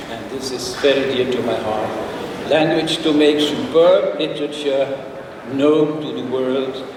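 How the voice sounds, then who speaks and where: An older man delivers a formal address in a large conference hall. He speaks in a measured, slightly halting way, with learned asides. The sound is far from the mic and washed in long reverb, with steady noise behind it. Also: fairly high pitch. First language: Dutch. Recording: echoey hall, picked up from afar, with noise.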